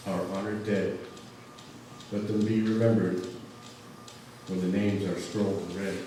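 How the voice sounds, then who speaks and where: A man giving a solemn, measured recitation at a memorial ceremony. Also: on the low side, warm tone. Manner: measured, deliberate phrasing. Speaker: speaker reading a memorial poem. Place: large echoey indoor hall. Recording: very echoey hall, distant mic, faint noise.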